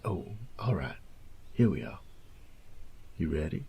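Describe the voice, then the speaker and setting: Man playing a low, creaky boyfriend. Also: very animated, measured pace, background noise, heavily acted. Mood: playful, excited. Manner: drawling, teasing, tender, coaxing, with breathy near-whispers. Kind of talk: intimate scripted audio roleplay addressed to the listener.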